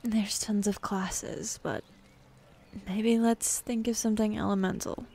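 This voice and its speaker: A woman's voice: medium pitch, flat delivery, measured pace, creaky, mellow. A woman voicing a bossy, teasing demon in an intimate ASMR roleplay, sardonic and drawling, easily bored, slipping into whispers. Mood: instructive, teasing. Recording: faint ambient forest background noise.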